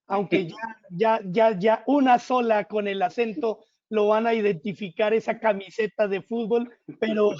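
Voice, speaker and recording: A middle-aged man hosts a webinar in a high voice, friendly and relaxed, with fillers between phrases. The sound is dull and muffled, like video call audio. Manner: friendly, uses fillers like "este". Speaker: host, moderator. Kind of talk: webinar moderating.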